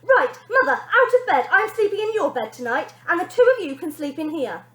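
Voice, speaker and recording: A woman voicing a bossy, shrill young girl who shouts orders and throws tantrums in a storybook reading. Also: big pitch swings, fairly quick, heavily acted. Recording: echoey room.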